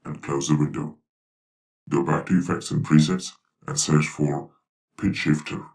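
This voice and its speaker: An extremely deep robot delivers deliberate tutorial instructions. The voice is crackly and processed with a robotic delay effect, sounding set back from the mic over music. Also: male voice, little pitch movement, vocal fry, darkish tone, distant mic, exaggerated voice. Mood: instructive. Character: instructive robot speaking for a video channel. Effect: robotic pitch-lowered voice with flanger and delay.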